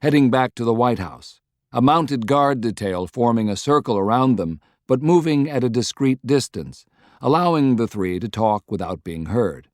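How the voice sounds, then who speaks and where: Male narrator for a historical novel, mid-range pitch, close dry recording, scripted read. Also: expressive, moderate pace, darkish tone, no echo. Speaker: audiobook narrator.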